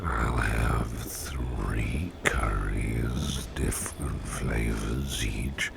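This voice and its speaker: A man playing a drowsy, gluttonous, protective monster businessman in an ASMR roleplay, murmuring haltingly in a breathy, gravelly voice. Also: very deep voice, full character voice. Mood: tender, sleepy. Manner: drowsy, halting, mumbling with drawn-out words. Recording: heavy background noise.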